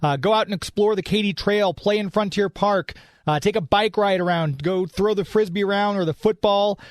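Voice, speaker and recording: A man's voice: high-pitched, normal speed, no room sound, clean studio sound. A man hosting a radio show in a chatty, informal, off-the-cuff way, moving between casual talk and sponsor reads.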